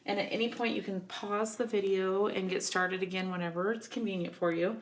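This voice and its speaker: A woman with a scratchy voice from a cold, giving a relaxed, chatty scrapbooking tutorial. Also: mid-range voice, brisk. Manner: relaxed, chatty, many ums. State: getting over a cold, scratchy voice. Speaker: craft instructor.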